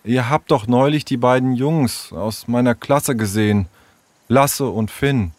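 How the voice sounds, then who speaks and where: A man's voice: medium pitch, a little slow, darkish tone, no echo, lightly acted. A man voicing a hurt, self-doubting schoolboy in a children's story, hesitant and subdued.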